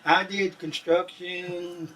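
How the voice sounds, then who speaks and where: A man of sixty speaks casually and haltingly, in short broken phrases. His voice sits a little high for a man, and he is recorded inside his home. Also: little pitch movement, bright, forceful tone, far from the mic, rough recording. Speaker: interviewee confronted at home. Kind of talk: casual, hesitant conversation.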